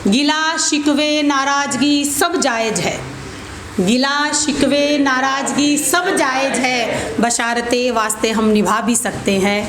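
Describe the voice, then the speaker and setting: A woman performing as a poet at an open mic, reciting verse with deliberate repeated lines and informal introductions. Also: high voice, steady tone, crowd noise. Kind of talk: spoken Hindi-Urdu poetry recital with informal introductions. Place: open mic event room. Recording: echoey room with clear background noise.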